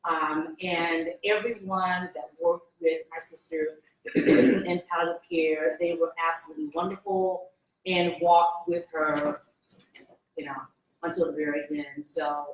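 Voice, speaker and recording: A woman's voice: lowish voice, steady tone, a little slow. Reflective woman in a roomy hall. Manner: soft, reflective, hesitant fillers. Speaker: patient's sister on a panel. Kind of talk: personal story. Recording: roomy hall with faint noise.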